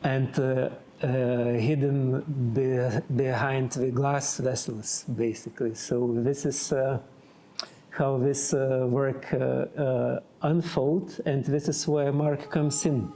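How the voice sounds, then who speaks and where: A man, a glass artist, explaining his artwork in a halting way with many filler sounds. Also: medium pitch, flat delivery, warm tone, slight background noise.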